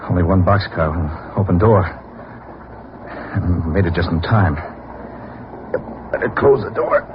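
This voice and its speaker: An actor playing a panicky, guilt-ridden criminal on the run in an old-time radio horror play, speaking in short, frantic fragments. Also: male voice, low voice, unhurried. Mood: weary, uneasy relief. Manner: muttering to himself in short, clipped fragments, rising to frantic pleading. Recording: noisy, muffled old radio drama recording.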